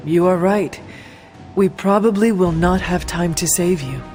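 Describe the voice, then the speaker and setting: A woman playing a stoic, resolute warrior in a video game cutscene, with a formal, measured, deadpan delivery. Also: lowish voice, faint music behind, natural voice. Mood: earnest, dry.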